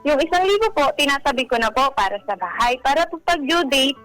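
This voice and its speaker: A woman's voice: high voice, animated, brisk, rough recording. A woman, talkative and polite, telling her own story casually as a caller in a run-on way. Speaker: caller seeking relationship advice. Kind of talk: casual personal account to radio hosts. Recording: music playing behind.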